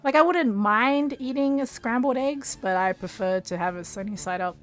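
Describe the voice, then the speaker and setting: A woman's voice: fairly high pitch, moderate pace, faint music behind. Chatty woman streamer, voice wearing thin. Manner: rambling, giggly, chatty with viewers. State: losing her voice, tiring. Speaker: game streamer. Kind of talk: casual live-stream chat while gaming.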